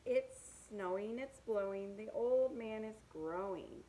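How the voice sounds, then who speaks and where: A woman's voice: mid-range voice, slow pace, dark tone, a little hiss or hum. A woman reading picture books aloud to young children, with sing-song rhymes and simple asides about the pictures.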